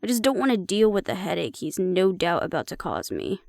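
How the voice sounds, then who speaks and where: A woman's voice: fairly low pitch, sing-song, brisk, creaky, darkish tone, no room sound. A woman acting in an audio roleplay as a sardonic, nervous young woman who mutters dry asides to her mate. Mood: nervous, wry.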